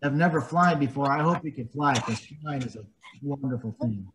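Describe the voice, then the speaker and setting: A man reading a picture book aloud to young children, gently explaining it in simple words and asking them questions. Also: mid-range voice, moderate pace, noisy background. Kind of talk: storybook read-aloud with explanations.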